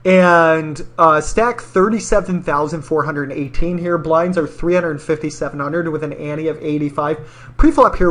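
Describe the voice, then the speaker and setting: Male poker player, fairly high voice, casual hand breakdown. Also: a bit bright. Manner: informal, thinks aloud through numbers.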